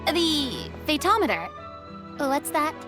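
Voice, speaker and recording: Very high, sweet young lady. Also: sing-song, slow, exaggerated voice. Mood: curious, pleased. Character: sweet curious young lady, friendly and polite. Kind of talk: video game character dialogue. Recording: loud game music behind.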